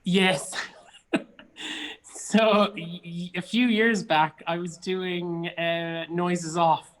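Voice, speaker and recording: A man's voice: high-pitched, steady tone, extremely slow, fairly bright tone. A man from Cork with an Irish accent, an actor chatting casually and telling anecdotes as an interview guest. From Cork, Ireland.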